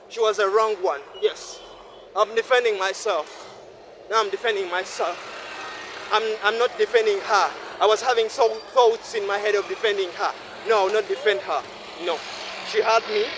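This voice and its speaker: A man in his twenties from Uganda, talking to himself in a repetitive, preachy monologue while walking a city street at night, sweaty from walking fast. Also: high-pitched, fairly bright tone, slight background noise. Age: 20s. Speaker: vlogger. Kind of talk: rambling night-walk monologue.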